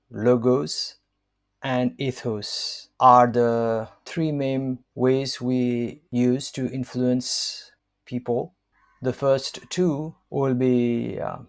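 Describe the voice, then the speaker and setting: A man in his fifties from Nepal, whose first language is Newari, reading his own book aloud in a measured, hesitant way with frequent fillers. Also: medium pitch, gravelly. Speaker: author and language counselor.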